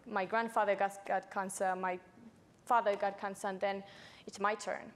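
A woman's voice: mid-range voice, flat delivery, normal speed. A nineteen-year-old woman from Chile with a Chilean accent, a native Spanish speaker, talking conversationally as an activist on a panel. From Santiago, Chile. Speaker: youth climate activist panelist. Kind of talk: personal story in a panel talk. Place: stage at an indoor panel event. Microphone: lapel mic.

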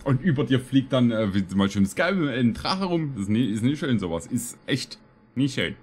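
A man's voice: medium pitch, very animated, normal speed, background noise. A man in his thirties giving casual, rambling, slangy reaction commentary with stammered repetitions. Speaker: reaction video host. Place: small room at home, streaming setup.

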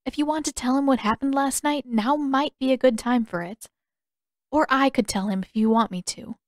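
A woman's voice: high-pitched, normal speed, dry recording, clean studio sound, voice pushed up, lightly acted. A woman voicing a frank, caring, determined teenage girl with direct, casual phrasing in an audiobook story.

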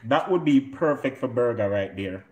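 A man's voice: mid-range voice, animated, normal speed, a little mellow. Jamaican man cooking in a roomy kitchen. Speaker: home cook and show host. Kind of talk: casual live cooking demonstration. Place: indoor home kitchen. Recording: roomy kitchen, clear noise, pot clatter.

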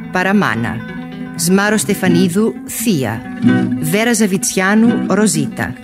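Female radio announcer, mid-pitched, formal scripted credits read over prominent plucked guitar. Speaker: announcer reading cast credits. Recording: loud guitar music behind.

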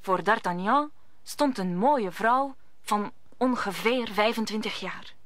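A woman's voice: medium pitch, slow pace, fairly bright tone, dry recording. A woman narrating a radio play in a scripted storybook style.

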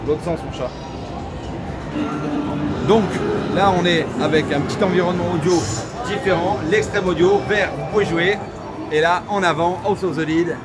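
High-pitched man vlogging in a loud arcade. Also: normal speed, pauses often. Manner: informal, slangy, enthusiastic. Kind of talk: casual chat to camera. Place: large indoor arcade. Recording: loud arcade music and machine din behind.

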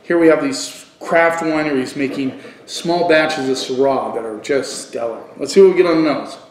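A middle-aged man giving a casual wine review on video, thinking aloud haltingly and repeating words for emphasis. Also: on the high side. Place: living room at home, indoors. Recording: echoey room.

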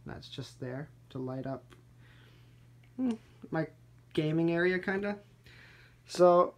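Young adult male vlogger, a touch high in pitch; informal, low-key home-tour delivery into a handheld camera. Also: a little mellow. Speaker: gaming YouTuber. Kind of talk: casual home tour vlog. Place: small furnished basement rooms indoors. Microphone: handheld camera's built-in mic.

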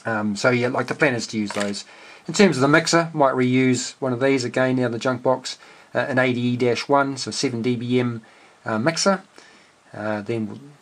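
A man's voice: medium pitch, normal speed. A middle-aged man explaining a hobby project casually at his desk, unscripted, hesitant and understated as he thinks aloud. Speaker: amateur radio hobbyist and video host. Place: small indoor room at a cluttered desk workbench.

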